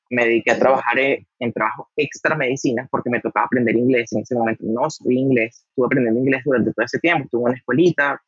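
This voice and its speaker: Husky man, hesitant storytelling. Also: medium pitch, fairly bright tone, no echo, muffled recording. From Venezuela. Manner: hesitant, repeats words while recalling. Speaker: guest, doctor. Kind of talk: casual interview answers.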